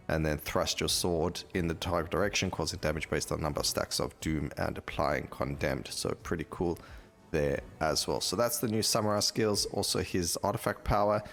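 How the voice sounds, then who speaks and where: A man's voice: low voice, vocal fry, a bit bright. A man giving casual gameplay commentary as a gaming video host, thinking aloud as he reads out stats on screen.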